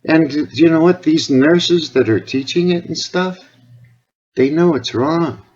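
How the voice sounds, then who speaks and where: A man's voice: on the high side, a little slow, a little mellow, breathy edge. Earnest Canadian man on a dull recording. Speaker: caller, health awareness advocate. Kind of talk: phone conversation. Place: phone call recording. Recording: dull lo-fi phone call recording.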